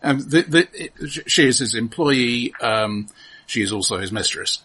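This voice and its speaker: A man on a film podcast, chatting casually but analytically. His voice is husky and mid-pitched. He often stops and repeats a word before finishing his point. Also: expressive, sharp tone. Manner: analytical, halting with repeated words.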